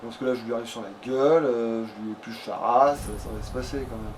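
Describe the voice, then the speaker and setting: A man's voice: mid-range voice, fairly even intonation, fast talker, a little mellow. A man playing a video game and chatting casually with a friend, rambling and swearing as he goes. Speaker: video game let's player at the controls. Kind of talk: casual gaming chat with a friend. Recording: clearly noisy home recording.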